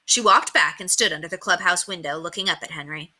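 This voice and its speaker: A woman reading a children's story aloud with expressive, dramatic emphasis. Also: lowish voice, fast, slightly rough.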